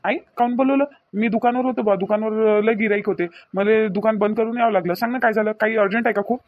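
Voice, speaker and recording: A man's voice: high-pitched, fairly even intonation, fast, no room sound, natural voice. A man playing a mild shopkeeper son in a family drama, casual, with a Varhadi Marathi accent and a muffled, narrow sound. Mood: puzzled. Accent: Varhadi Marathi of Vidarbha. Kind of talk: amateur family comedy-drama dialogue.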